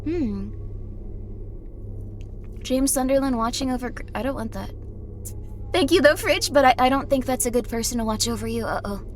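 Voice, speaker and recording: A tired woman streaming a game, chatting casually in a slightly raspy voice, rambling with many fillers. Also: sits high, animated, moderate pace, long pauses, slight background noise. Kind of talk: casual chat while playing a game.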